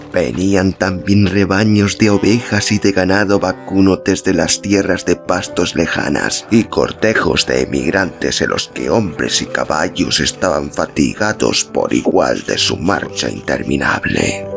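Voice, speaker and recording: A man narrating a literary story as an audiobook, reading from a script. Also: lowish voice.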